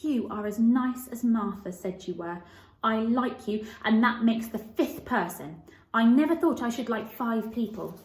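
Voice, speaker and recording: A woman's voice: on the high side, animated, unhurried, a little mellow, echoey room, poor audio. A woman voicing an earnest, eager and slightly anxious little girl in a children's storybook, with a pleading manner.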